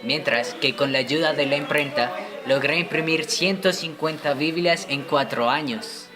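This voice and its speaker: A man's voice: on the high side, unhurried, over music, close to an everyday voice. A man playing a learned medieval teacher, explaining didactically from a script in an amateur educational radio play. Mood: didactic.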